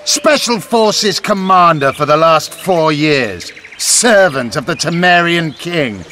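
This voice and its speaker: An actor playing a proud, scornful elf rebel commander in a video game, taunting and deliberate in his delivery. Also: fairly high pitch, animated, pushed and bright, slight background noise, exaggerated voice. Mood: mocking, formal.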